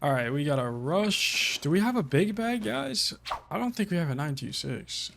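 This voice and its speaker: A man giving casual, calm commentary while streaming a shooter game, with a low-key delivery that shows little emotion. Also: normal speed, creaky, slight background noise.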